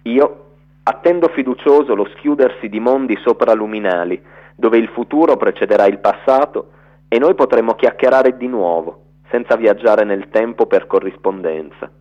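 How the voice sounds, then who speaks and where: A man giving a theatrical, storytelling reading of a literary letter for radio, in an expressive narrator's delivery. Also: mid-range voice, moderate pace, highs cut off.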